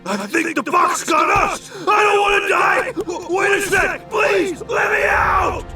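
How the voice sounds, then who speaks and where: A man playing a brash, greedy, excitable martial artist in a video game cutscene, with a gravelly voice. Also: very high-pitched, slow pace, shouting, music in the background, exaggerated voice. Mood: panicked.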